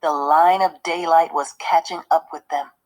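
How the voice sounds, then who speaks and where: A woman teacher giving a read-aloud lesson, explaining the story in a measured way and pausing to put questions to listeners. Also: low-pitched, steady tone.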